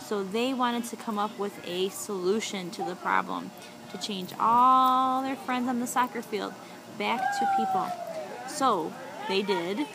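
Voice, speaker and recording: A woman improvising a children's story with a young child, animated and encouraging, often echoing and asking questions. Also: medium pitch, unhurried, gravelly.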